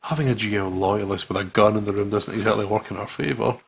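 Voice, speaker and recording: A man's voice: on the low side, quick pace, gravelly, slight background noise. A man hosting a gaming video, reading story narration aloud dramatically and dropping into casual asides.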